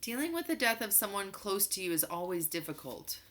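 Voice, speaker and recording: A woman's voice: medium pitch, sing-song, a little fast, a bit bright, pitched above the performer's own voice. A woman voicing a stern but patient mother in a storybook, firm and admonishing. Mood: patient, explaining.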